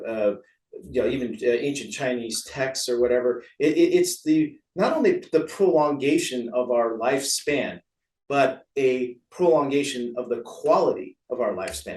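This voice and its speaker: Male American interview guest, physician type. Casual, meandering, self-effacing explainer, mid-pitched, recorded at a distance with room echo. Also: darkish tone. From San Francisco, USA. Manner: rambling, chatty, self-deprecating. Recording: roomy echo, distant from mic.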